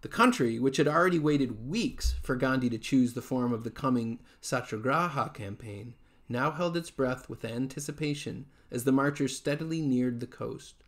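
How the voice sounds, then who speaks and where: A middle-aged man reads nonfiction aloud in a measured, explanatory way, recorded at a desk in a living room at home. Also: medium pitch. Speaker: author reading a book aloud. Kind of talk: read-aloud nonfiction narration.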